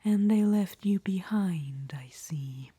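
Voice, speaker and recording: A proud, scheming undead witch queen, voiced by a woman, whispers right into the ear. The voice is airy and breathy with a dark, mellow tone, unhurried and low. The close mic is dry, with no room around it. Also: expressive, no echo, full character voice. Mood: sly. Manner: soft unvoiced whisper. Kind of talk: whispered ASMR audio roleplay.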